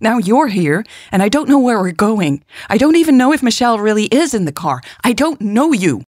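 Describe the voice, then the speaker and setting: A woman's voice: a little high, big pitch swings, brisk, darkish tone, no echo, studio quality, pitched above the performer's own voice. A woman narrator voicing a distraught, distrustful, stubborn woman who protests in an agitated manner in a romance story. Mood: confused, agitated.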